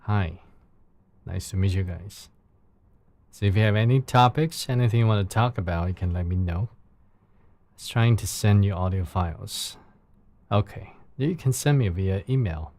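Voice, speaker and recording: A man from China with a Chinese accent, a Mandarin speaker talking in English, chatting casually and haltingly with viewers on a live stream in a soft, soothing voice. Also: fairly low pitch. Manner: unhurried, halting, with fillers and restarts.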